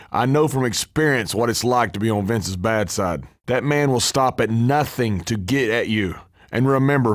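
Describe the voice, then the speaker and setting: Cocky wrestler guy some man acting for a video game, brash scheming trash talker, dry close recording, medium pitch. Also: no echo, lightly acted. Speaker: pro wrestler voicing a video game character. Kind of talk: scripted wrestling game dialogue.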